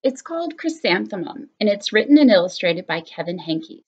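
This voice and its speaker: A woman teacher reading a picture book aloud to her students, expressive and animated. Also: medium pitch, moderate pace, slightly rough. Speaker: elementary school teacher. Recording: slightly muffled lo-fi recording.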